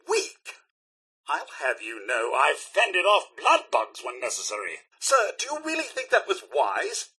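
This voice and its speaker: A male robot butler from a video game, prim, devoted and very British. The voice sits fairly high and cuts through brightly, leaping dramatically up and down. The delivery is formal and fussy, full of deferential politeness. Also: breathy edge, full character voice. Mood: affronted. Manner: formal, deferential, theatrically expressive. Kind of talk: video game character dialogue.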